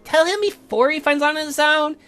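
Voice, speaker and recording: A woman's voice: high-pitched, a little fast, a bit bright, voice pushed up. A woman voicing a bossy, teasing young female best friend in a visual novel, theatrical and gushing. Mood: playful, smug. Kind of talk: visual novel read aloud in character.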